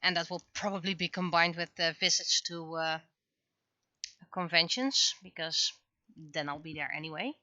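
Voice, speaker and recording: A woman chatting casually on a livestream as an artist at work, speaking haltingly as she thinks aloud. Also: on the low side, bright, forceful tone, no echo, muffled recording.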